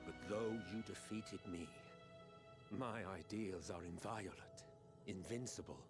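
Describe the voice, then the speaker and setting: An actor playing a proud, defeated villain in a video game, grandiloquent and disdainful. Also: male voice, low-pitched, measured pace. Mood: proud, solemn. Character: proud, theatrical, defeated male villain, imperious and wry. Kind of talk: dramatic video game acting. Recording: orchestral music under the voice.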